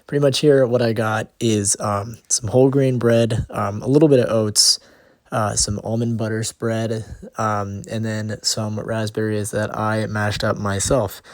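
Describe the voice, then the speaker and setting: A young man with a cold, sounding nasal and raspy, casually walking through a homemade meal in a cooking video, pausing often with ums. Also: fairly low pitch, flat delivery, no room sound, studio quality. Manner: hesitant, many ums and uhs, lists nutrients. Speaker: student making a cooking video. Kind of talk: casual explanatory cooking vlog.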